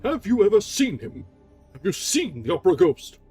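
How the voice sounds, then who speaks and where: A man's voice: sits high, big pitch swings, normal speed, dark tone, husky, soft background music, pitched above the performer's own voice, exaggerated voice. A man voicing an irascible male opera manager who barks orders and questions sharply. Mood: interrogating. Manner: barking, imperious, sarcastic.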